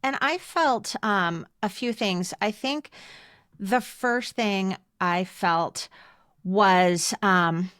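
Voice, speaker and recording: A woman's voice: mid-range voice, extremely slow, a bit bright, clean tone, dry recording, studio quality. A woman in her fifties talking casually as a podcast co-host. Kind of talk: casual conversational podcast talk.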